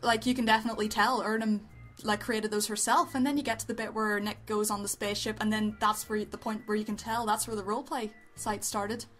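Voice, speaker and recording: A woman's voice: medium pitch, fairly even intonation, brisk, fairly bright tone. A woman co-hosting a review show, chatting casually and informally. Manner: informal, conversational. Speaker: co-host of an amateur voice acting review show. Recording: background music under voice.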